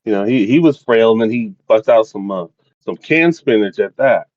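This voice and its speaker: A man's voice: on the low side, lively intonation, normal speed, darkish tone, no echo. A man co-hosting a podcast, joking in a playful, mock-grand way about snacks. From West Virginia. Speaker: podcast co-host. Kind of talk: casual chat. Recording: dull, dry lo-fi mic.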